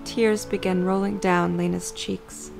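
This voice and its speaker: A woman reading scripted story narration for an audio drama in a measured, creaky voice. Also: fairly low pitch, level tone, over music.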